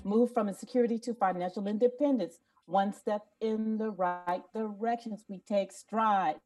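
A woman's voice: medium pitch, fairly even intonation, normal speed, a little mellow, faint music behind. A woman on a group video call, chatting casually and rapping as an amateur. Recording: distant video-call microphone.

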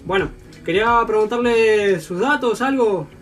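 Young man posing as halting Chilean school director. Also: high-pitched, fairly bright tone, recorded from a distance, faint music behind. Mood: insistent, polite. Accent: imitated Chilean. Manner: halting, formal, apologetic. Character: formal, polite Chilean male school director. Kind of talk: prank phone call.

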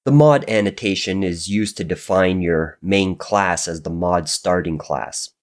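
Deep-voiced man with a nasal cold. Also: measured pace. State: getting over a cold, raspy and nasal. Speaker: coding tutorial host. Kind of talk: screen-recorded programming tutorial narration.

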